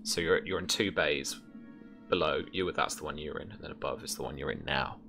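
A young man working as game master, narrating a tabletop scene in a casual, halting, descriptive way. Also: very deep voice. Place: indoor room, remote session. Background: music.

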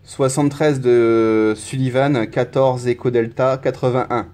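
Male CB operator, average pitch, informal on-air chat, recorded beside his set in a small room. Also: steady tone, a little slow, dark tone, a little hiss or hum. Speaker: CB radio operator. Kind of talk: casual radio contact chat.

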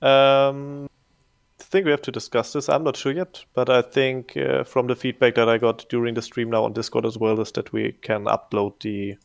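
A man's voice: medium pitch, level tone, normal speed, vocal fry. A middle-aged man on a casual livestream Q&A, answering viewer questions as community manager, repeating words and chuckling often. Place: home room, indoors. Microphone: headset.

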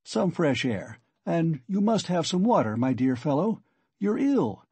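Sly, solicitous investigator for a novel, played by a male reader: animated, husky, rambling and wheedling. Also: a little high, measured pace, no room sound, pitched above the performer's own voice, heavily acted. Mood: fussing, solicitous. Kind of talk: audiobook character acting.

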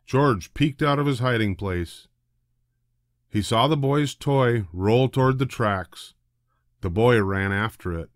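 An older man narrating a children's storybook in a deliberate, expressive way. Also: mid-range voice, no room sound, clean studio sound. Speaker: storybook reader.

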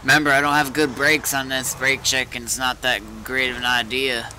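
Gamer guy chatting, really bright edgy voice, bit of engine noise behind. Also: mid-range voice, little pitch movement, normal speed, low-quality recording. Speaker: roleplay gamer. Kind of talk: casual in-game chat.

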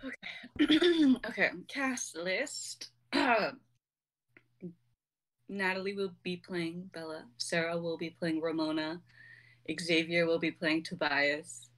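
A woman's voice: on the low side, slow pace, fairly bright tone, rough recording. A young woman reading out stage directions as scripted narration. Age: young adult.

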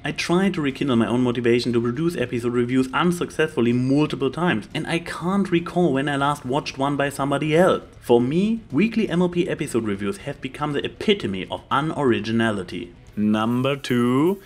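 A man's voice: mid-range voice, animated, fairly quick, hardly any pauses. A man reading scripted opinion commentary as a video host, making his points with emphatic lists. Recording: music behind the voice. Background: background music.

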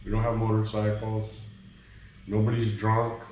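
A man's voice: lowish voice, level tone, a little slow, darkish tone, muffled recording. A middle-aged man chatting casually to viewers while he makes coffee in a small kitchen, rambling and easygoing. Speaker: vlogger. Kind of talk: casual cooking vlog chat. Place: small bare cement-walled kitchen. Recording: echoey room, faint background noise. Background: crickets at night.

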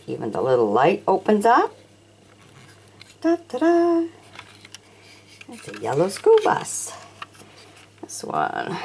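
A low-pitched older woman with a gravelly edge talks slowly and fondly about old toys. Her voice rises and falls a great deal as she admires each one. A faint hiss sits behind her. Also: a little mellow. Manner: chatty, admiring, asks the viewer questions. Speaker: toy collector showing auction finds. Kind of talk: casual show-and-tell narration. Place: indoors at a sunny windowsill.

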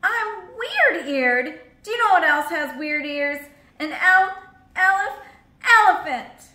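A woman's voice: extremely high pitch, lively intonation, takes it slowly, sharp tone, reverberant, voice pushed up, exaggerated voice. A woman voicing a touchy, weepy female hippo who wails melodramatically. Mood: wailing. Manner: wailing, melodramatic, sing-song.

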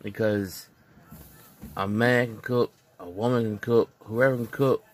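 A man's voice: lowish voice, fairly even intonation, a little slow. A man vlogging casually on a phone in a dim living room, earnest and preachy, repeating his points. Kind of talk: casual opinion vlog.

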